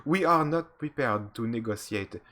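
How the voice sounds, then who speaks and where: A man's voice: medium pitch, lively intonation, normal speed, fairly bright tone, lightly acted. A man reading as a stern alien admiral. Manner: reads stiffly with slips.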